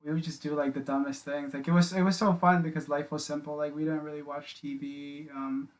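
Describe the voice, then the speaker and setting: A man's voice: on the high side, little pitch movement, a little fast. A young man from the Philippines, a podcast guest chatting casually in a small home studio, rambling with lots of filler words. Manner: rambling, many filler likes and restarts. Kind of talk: casual interview chat. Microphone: studio mic on boom arm, wearing headphones.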